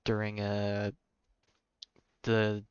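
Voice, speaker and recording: Low, smooth-voiced man streaming games. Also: completely flat delivery, very slow, no room sound, dull audio. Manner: informal, slangy. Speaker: game streamer. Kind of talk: casual gameplay commentary.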